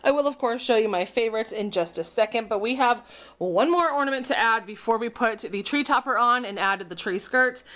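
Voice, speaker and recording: A young woman in her twenties chatting casually on a home vlog, her voice slightly raspy from dust, with a chatty, upbeat manner. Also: fairly high pitch, brisk, fairly bright tone. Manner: chatty, upbeat, filler-heavy. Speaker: vlogger. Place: home, indoors. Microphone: camera propped up nearby.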